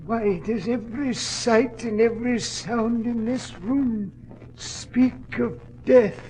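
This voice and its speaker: An actor playing an eccentric, frail old British recluse in 1940s radio style, with a husky, quavering delivery. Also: male voice, extremely high pitch, fairly even intonation, takes it slowly, warm tone, exaggerated voice, low-quality recording. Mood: agitated, accusing. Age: older. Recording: vintage radio drama recording.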